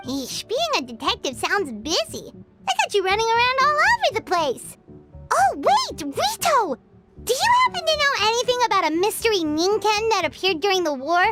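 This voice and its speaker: A woman voicing a small, chirpy, childlike fairy companion in a video game, eager and nosy, with a squeaky voice. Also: big pitch swings, moderate pace. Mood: curious, chatty. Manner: refers to herself in the third person. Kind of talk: video game cutscene dialogue. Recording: loud music under the voice.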